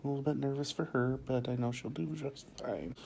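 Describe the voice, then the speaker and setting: A man in a hospital room giving calm, matter-of-fact updates for a vlog while his partner is in surgery. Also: medium pitch, brisk, gravelly, a little hiss or hum.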